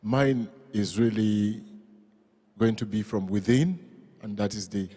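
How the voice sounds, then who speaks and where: Deep husky man in a hall. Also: slow, warm tone. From Nigeria. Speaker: panelist. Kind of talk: panel discussion. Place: large museum event hall. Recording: reverberant public hall.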